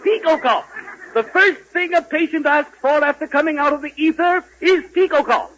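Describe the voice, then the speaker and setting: High-pitched, excitable radio pitchman. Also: moderate pace. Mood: gushing. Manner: gushing mock sales pitch, repeats slogans. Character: excitable radio announcer hawking a miracle drink. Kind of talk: 1940s radio comedy acting. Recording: noisy, dull vintage 1940s radio broadcast.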